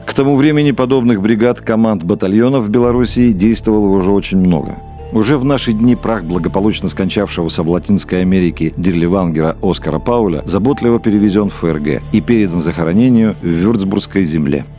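A man's voice: lowish voice, fast talker, warm tone, faint music behind. A man narrating an audio drama in a formal, matter-of-fact documentary manner, reading from a script.

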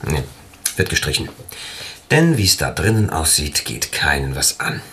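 A man's voice: a bass voice, big pitch swings, a little slow, bright, forceful tone, a little hiss or hum, full character voice. An actor playing a cheeky, wisecracking male sleuth in a German radio play, flippant and ironic. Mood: wry musing.

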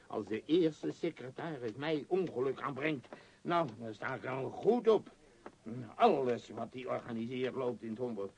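A man's voice: mid-range voice, expressive, normal speed, darkish tone, slight background noise, close to an everyday voice. An actor in a radio play voicing a domineering, self-pitying business magnate, blustering and muttering as he gives orders. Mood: grumbling. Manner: blustering, commanding, muttering hesitations.

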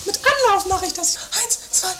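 A performer playing a bossy, vain, theatrical sister in an improvised trashy comedy audio drama, loud and sarcastic. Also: very high-pitched, animated, moderate pace. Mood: boastful, wailing.

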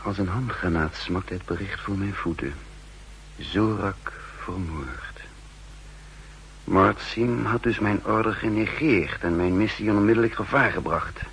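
An actor playing an older, wry and condescending spy chief who also narrates in the first person, in 1960s radio drama style. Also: male voice, low-pitched, a little slow, a bit bright. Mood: brooding, confiding. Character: aging spy chief and cryptographer, wry, secretly scheming. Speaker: narrator and lead character. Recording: humming, noisy 1960s radio recording.

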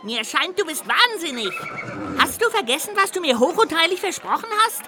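A woman's voice: extremely high pitch, sing-song, fairly quick, noisy background. A woman voicing a small, mischievous castle ghost in a radio play, indignant and fond of ghostly hooting. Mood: scolding.

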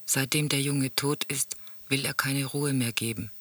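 An actor playing a sombre teller in a radio drama, reciting grim memories and letters in a solemn, measured, husky voice. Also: male voice, mid-range voice, steady tone, fairly bright tone, dry recording. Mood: guilty pleading.

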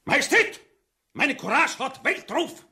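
Radio play captain on the run: Austrian accent, grandiose braggart, very high, animated delivery. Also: male voice, measured pace, full character voice. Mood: boastful. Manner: theatrical, grandiose. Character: boastful fugitive captain begging the Tsar for mercy. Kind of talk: radio drama acting.

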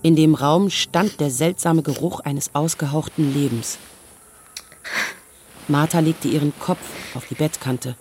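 A woman's voice: low-pitched, a little slow, a little mellow. An actress playing a weary, defiant woman in her early forties, narrating her story in a sober, restrained literary radio drama style. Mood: sober, grieving. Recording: music behind the voice. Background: music.

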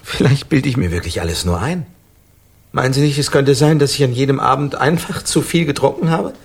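A man's voice: medium pitch, brisk, gravelly, breathy edge. An actor playing an agitated, probing amnesiac man with a head wound in a German radio crime drama. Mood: brooding, wistful. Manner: probing, insistent, stammering when excited.

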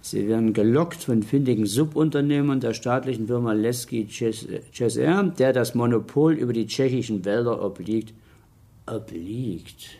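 Guy doing plodding village cop underling, radio play, normal mid pitch, kinda halting. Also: mellow, lightly acted. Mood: halting reading. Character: plodding, subservient male village policeman. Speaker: actor in a radio drama. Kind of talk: radio drama acting.

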